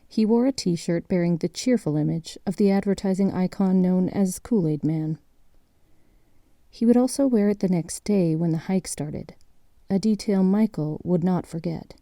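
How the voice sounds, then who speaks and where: A woman acting as a composed female narrator for a grim mystery documentary in an audio drama. Her voice is lowish and very soft-edged and dark, delivered in measured, matter-of-fact phrases. The sound is dry and close. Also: no room sound, natural voice. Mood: measured, grave. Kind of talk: scripted documentary-style narration.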